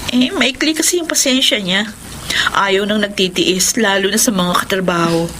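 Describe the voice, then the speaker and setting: A woman's voice: medium pitch, normal speed, sharp tone, a little hiss or hum, close to an everyday voice. A woman playing a grateful, earnest young wife and mother in a Filipino radio drama, speaking with open emotion. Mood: chatty, earnest.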